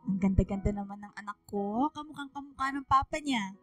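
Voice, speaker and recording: A woman's voice: medium pitch, brisk, faint music behind, lightly acted. A woman with a Filipino accent playing a happy, affectionate middle-aged mother, chatty, in a radio drama. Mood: fond, happy.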